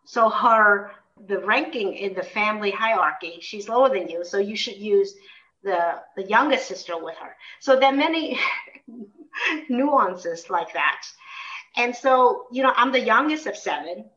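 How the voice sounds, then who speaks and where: A woman's voice: mid-range voice, animated, a little slow, pushed and bright. A middle-aged woman from Vietnam and the United States, answering interview questions in a thoughtful, reflective, conversational way. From born in Vietnam until twelve, then United States. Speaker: interviewed memoir author. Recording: distant mic, dull muffled sound.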